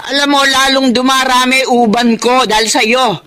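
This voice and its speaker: A woman's voice: sits high, fairly even intonation, moderate pace, fairly bright tone. A woman playing a disapproving, exasperated mother of a grown son in a drama, nagging and scornful. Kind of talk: emotional Filipino audio drama acting.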